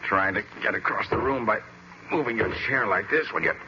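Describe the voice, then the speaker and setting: An actor playing a plain-spoken, self-reproaching captive detective with an American accent, in 1940s radio style. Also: male voice, on the low side, brisk, natural voice. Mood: rueful. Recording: muffled, noisy old radio recording.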